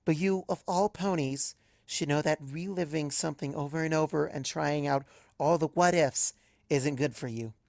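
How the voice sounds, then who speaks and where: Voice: mid-range voice, normal speed, creaky, darkish tone, dry recording, natural voice. A young pegasus mare, as a storybook narrator plays her, talks in an affectionate, playful way, both teasing and reassuring. The voice sits mid-range in a dry, close recording. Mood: tender, reassuring.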